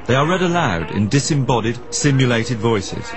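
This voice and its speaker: A man's voice: mid-range voice, big pitch swings, moderate pace, over music. A man presenting a radio documentary, narrating and asking questions in a dramatic storytelling manner.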